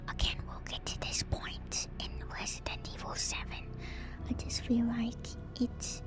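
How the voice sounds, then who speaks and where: Woman, hushed breathy whispering, slightly high pitch, ASMR reading, enthusiastic casual asides, clear background music. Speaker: streamer and lore reader.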